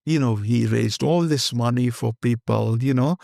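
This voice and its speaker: A man's voice: medium pitch, moderate pace, gravelly, darkish tone, no echo, clean studio sound. An older man with an Indian accent, a guest speaking candidly and rambling in an interview, slipping Hindi phrases into his English. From Kenya.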